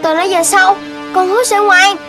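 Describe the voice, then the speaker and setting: A woman voicing a playful, naive little puppy boy in a children's cartoon, childlike and expressive. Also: very high voice, normal speed. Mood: apologetic. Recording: music playing behind the voice.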